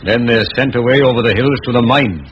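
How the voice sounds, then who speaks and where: An actor playing an earnest, pious middle-aged clerk in an old radio play, solemn and a little hesitant. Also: male voice, medium pitch, quick pace, natural voice. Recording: dull, hissy old radio recording.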